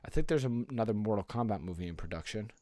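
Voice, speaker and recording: A man's voice: lowish voice, lively intonation, rapid-fire, dark tone, no room sound, studio quality. A man co-hosting a casual pop culture podcast, talking in a slangy, rambling, enthusiastic way. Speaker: podcast co-host.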